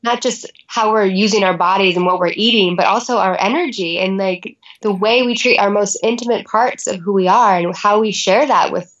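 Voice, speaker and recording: A woman's voice: medium pitch, normal speed, few pauses, highs cut off. A woman guest on a podcast, talking in an earnest, rambling casual way. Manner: earnest, rambling with many likes. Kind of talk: casual chat.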